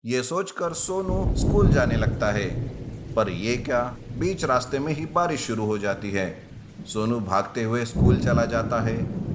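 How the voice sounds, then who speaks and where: Male narrator for children's moral tales: mid-pitched, scripted storytelling, loud noise behind.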